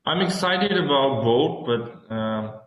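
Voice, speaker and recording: A man with an Armenian accent presenting his student project on a video call, halting as he searches for words. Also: mid-range voice, lively intonation, highs cut off. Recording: echoey room on a video call.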